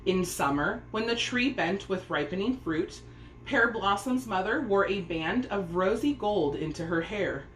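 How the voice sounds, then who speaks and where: A woman reading a children's story aloud as an expressive narrator. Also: mid-range voice. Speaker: storybook reader. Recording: echoey room, faint background noise.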